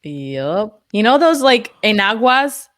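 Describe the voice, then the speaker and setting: A woman's voice: medium pitch, big pitch swings, slow pace, fairly bright tone, no room sound. A young woman chatting on a casual podcast, easygoing and laughing often. Her voice sits mid-range and is recorded dry and close. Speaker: podcast co-host.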